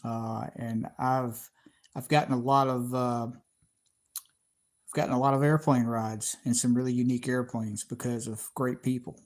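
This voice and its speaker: A middle-aged man with a Southern American accent hosts his own livestream, chatting casually to viewers. He rambles, stops and restarts often, and fills gaps with plenty of "uh"s. His voice sits at an ordinary pitch for a man. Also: flat delivery, measured pace. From Georgia, USA. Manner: rambling, many fillers and restarts. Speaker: channel host.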